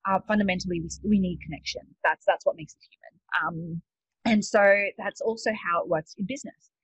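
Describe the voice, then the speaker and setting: A woman's voice: medium pitch, a little fast, slightly rough, dry recording. A woman with an Australian accent, a guest coach chatting casually, laughing often and using many fillers. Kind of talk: casual webinar talk. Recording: dull lo-fi dry sound.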